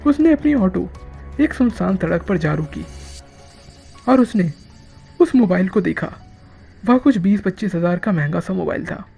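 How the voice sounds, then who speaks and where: A narrator telling a scripted horror story in a dramatic, drawn-out way with frequent pauses. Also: mid-range voice, expressive, gravelly, dark tone, husky, faint music behind.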